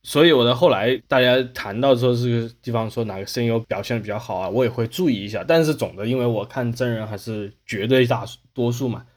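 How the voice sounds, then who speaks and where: A man speaks at a quick pace in a casual podcast discussion. His pitch sits in the middle range, and he explains things wordily, rephrasing as he goes. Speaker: podcast host.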